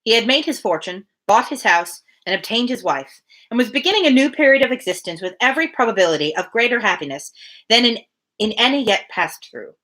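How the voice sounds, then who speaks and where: A woman's voice: a little high, expressive, brisk, pushed and bright. A woman reading a classic novel aloud as the host of a live reading, at times speaking casually. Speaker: reader hosting a daily live book reading.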